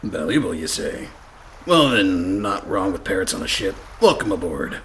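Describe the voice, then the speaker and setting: Low, forceful pirate sailor. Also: male voice, big pitch swings, normal speed, slight background noise. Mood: stubborn, shrewd. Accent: stage pirate. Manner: folksy, rambling pirate speech. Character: gruff, dim, shifty pirate sailor. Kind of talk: comic radio play acting.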